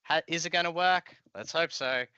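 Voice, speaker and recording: Bright, forceful man teaching casually. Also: on the high side, moderate pace, smooth, no echo, muffled recording. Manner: informal, explanatory. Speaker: software tutorial instructor. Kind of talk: casual live coding walkthrough. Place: indoor room, video call.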